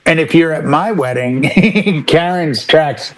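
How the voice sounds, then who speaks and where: Man, slightly high voice, talk show panelist, casual chat, animated storytelling, quoted dialogue acted out. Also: slow. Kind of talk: casual chat, telling anecdotes.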